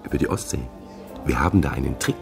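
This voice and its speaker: Gravelly, very low smooth-talking fixer. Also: male voice, expressive, quick pace, exaggerated voice. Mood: persuasive, assured. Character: confident East German escape helper, a man. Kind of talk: German radio crime drama acting. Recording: music behind the voice.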